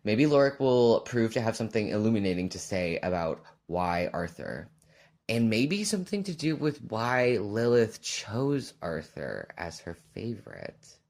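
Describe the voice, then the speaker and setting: A man's voice: fairly low pitch, big pitch swings, measured pace, a little mellow. A man giving his thoughts in a casual recorded voice message. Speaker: listener submitting a voice message.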